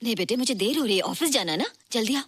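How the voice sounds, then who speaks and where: A woman's voice: a little high, expressive, rapid-fire, a bit bright, slightly rough, dry recording, clean studio sound. An actress playing a busy, affectionate middle-aged mother in a Hindi film, over faint music. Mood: cheerful, hurried.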